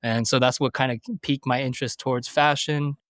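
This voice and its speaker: A man's voice: mid-range voice, steady tone, brisk, a bit bright, no echo, clean studio sound. A man in his thirties from the United States, a podcast guest answering questions thoughtfully with frequent fillers and restarts. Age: 30s. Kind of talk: conversational interview.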